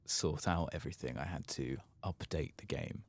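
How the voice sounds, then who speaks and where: A man doing a soft-spoken ASMR game playthrough, murmuring musing asides and sometimes dropping toward a whisper. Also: a bass voice, moderate pace. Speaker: gaming ASMR host.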